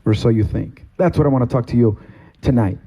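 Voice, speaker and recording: A middle-aged man from Cuba, a pastor giving a sermon testimony from a stage, with slow, deliberate, emphatic phrasing. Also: mid-range voice, dark tone, low-quality recording. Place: stage in a meeting hall.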